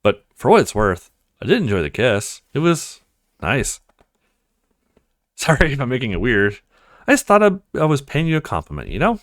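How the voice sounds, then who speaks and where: Man acting young college woman, visual novel, casual, playful, affectionate, animated intonation, dry sound. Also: medium pitch, moderate pace, pauses often, no echo. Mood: sheepish.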